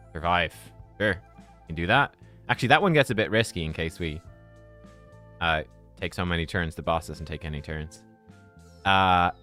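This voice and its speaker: A man chatting casually on a live game stream, relaxed and thinking out loud as he plays. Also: low-pitched.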